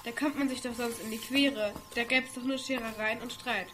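Young female actor, high voice, as a helpful, matter-of-fact girl guide in an amateur radio play; ambient noise behind. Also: steady tone, normal speed, fairly bright tone, lightly acted. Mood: explaining. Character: helpful girl from a future world explaining vehicles.

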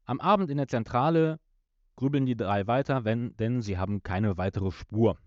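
A man co-hosting a podcast, retelling a story in a casual, semi-scripted way and often halting to correct himself mid-word. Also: mid-range voice, darkish tone, no room sound. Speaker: podcast co-host. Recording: slightly dull, dry lo-fi microphone.

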